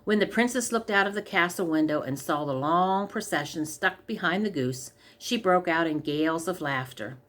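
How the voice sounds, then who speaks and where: A woman's voice: on the low side, animated, normal speed, few pauses, a bit bright. A woman reading a scripted comic fairy tale aloud as a storyteller. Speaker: story reader.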